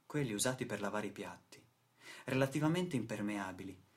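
A man's voice: fairly low pitch, expressive, a little fast, close to an everyday voice. A man acting a weary, indignant factory worker in a dramatic radio play monologue, with stammered repetitions. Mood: bleak, weary. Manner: dramatic, stammering repetitions, swings from muttering to outbursts. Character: weary, indignant male factory worker telling his grim story.

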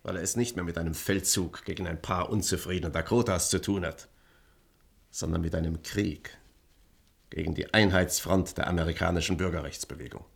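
A man's voice: low-pitched, fairly even intonation, a little fast, vocal fry, husky, full character voice. An actor playing an idealistic male activist leader in a German radio play, arguing in a persuasive, declamatory way. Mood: resolute, persuasive.

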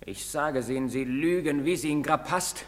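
An actor playing a bitter, accusing young apprentice of twenty in a radio drama. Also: male voice, on the high side, normal speed, lightly acted. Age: 20s. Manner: bitter, accusing outbursts, trembling. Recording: long hall reverb.

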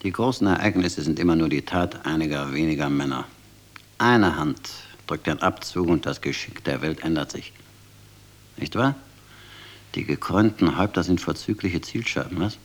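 Spymaster colonel, radio drama: deep, curt, ruthless, mocking authority. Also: male voice, lively intonation, moderate pace. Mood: fanatical, cynical. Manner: brusque, commanding, cynically mocking. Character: ruthless, scornful intelligence colonel, fanatical conspirator. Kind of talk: German radio drama acting.

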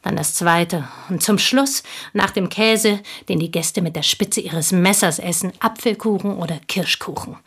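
An actress playing a bitter, proud, unmarried village woman in a radio play, speaking deliberately and with scornful intensity in a husky voice. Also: lowish voice, a bit bright, heavily acted. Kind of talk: German radio play acting.

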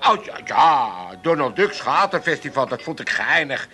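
A man's voice: fairly high pitch, lively intonation, moderate pace, sharp tone. An actor playing a grumbling, naive older Amsterdam father in a comic radio play, stammering and blunt. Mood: naively puzzled.

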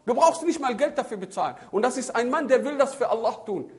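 A man, middle-aged, preaching a religious sermon in an animated storytelling way, acting out dialogues, repeating himself and asking rhetorical questions, with Arabic phrases mixed in. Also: very high voice, quick pace. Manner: code-switching into Arabic phrases. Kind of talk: religious sermon on raising children.

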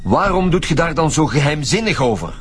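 Blunt, animated Flemish detective. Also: male voice, a little high, a little fast, a little hiss or hum. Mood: pressing, accusing. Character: blunt, dogged male homicide detective. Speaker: police detective. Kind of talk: radio drama dialogue.

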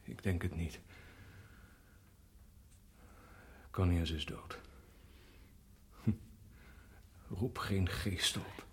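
An actor playing an anguished, weary condemned prisoner in a radio drama, with a husky, breathy voice. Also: male voice, sits low, slow, heavily acted. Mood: bitter, resigned. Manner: subdued, brooding, weary. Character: anguished, haunted condemned male prisoner protesting innocence.

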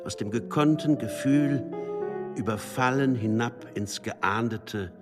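A man reciting poetry in a measured, solemn way. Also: mid-range voice. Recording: loud instrumental music under the voice.

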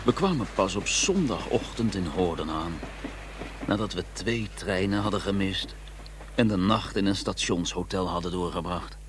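A man's voice: sits low, expressive, moderate pace, noisy background. A man acting a formal, dryly sarcastic and exasperated scholar who also narrates in a radio drama. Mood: indignant recounting. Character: prim, exasperated English scholar, a doctor, narrating his journey.

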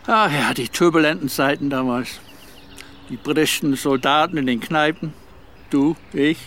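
An actor playing an aging Northern Irish ex-soldier, wry, nostalgic and evasive, in a German radio crime drama. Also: male voice, on the high side, fairly bright tone, slight background noise. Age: older.

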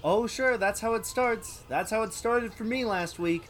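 Guy doing a whiny sarcastic sidekick dude, high swoopy voice, comedy skit, bit of outdoor noise behind. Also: normal speed, fairly bright tone. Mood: grumbling, sarcastic. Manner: dry, complaining. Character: grumbling, sunburned, sarcastic male sidekick avoiding sun. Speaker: comic sidekick.

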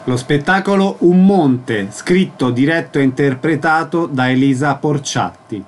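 A man's voice: fairly high pitch, unhurried, a little hiss or hum. A man reading a scripted programme announcement as a radio presenter.

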